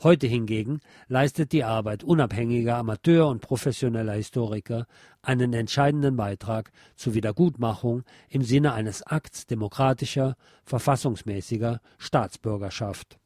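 A man reading a nonfiction text aloud as a podcast host, in a formal, measured, factual manner. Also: medium pitch, darkish tone, dry recording, studio quality.

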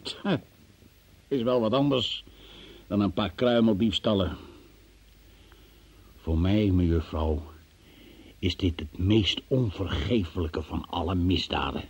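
An actor playing a stern, cold examining magistrate in a radio play, questioning formally and relentlessly with a gravelly, husky voice. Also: male voice, sits low, a little mellow, heavily acted. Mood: grave, reproachful. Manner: formal, accusing, deliberate. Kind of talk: Dutch radio play interrogation acting.

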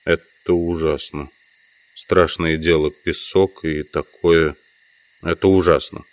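A proud, educated city scientist in an audio drama. His voice is deep and low. He reasons pedantically, like a skeptic who knows his subject. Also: steady tone, slow pace. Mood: concerned, shaken. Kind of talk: Russian audio drama acting.

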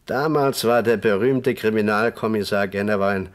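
A man's voice: on the low side, normal speed, close to an everyday voice. An actor playing a good-natured, talkative man reminiscing in conversation in a radio crime drama. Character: chatty, good-natured male host reminiscing about an old regular.

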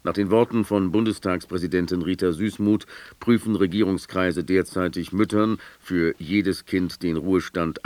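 Deep-voiced male German radio newsreader. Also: a little mellow, dry recording. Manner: formal, measured, factual. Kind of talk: scripted news reading. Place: dry radio studio.

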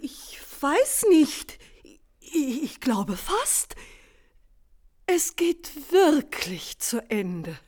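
High-voiced, simple servant woman. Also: very animated, drawn-out words, a little mellow, full character voice. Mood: alarmed. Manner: earnest, deferential. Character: simple, kind-hearted, devout servant woman. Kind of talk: German radio play acting.

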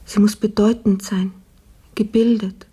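Radio play: frail, ailing young maid, self-effacing, flat and slow, breathy near-whisper. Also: female voice, mid-range voice. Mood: sorrowful, self-reproaching. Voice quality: husky, breathy, often falling to a whisper. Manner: slow, subdued, halting. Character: gravely ill young maidservant Marie, weary and self-effacing. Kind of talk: literary radio drama acting.

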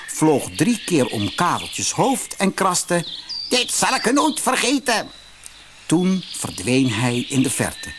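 A man narrating a children's fairy tale audiobook in an expressive storytelling manner. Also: medium pitch, background noise.

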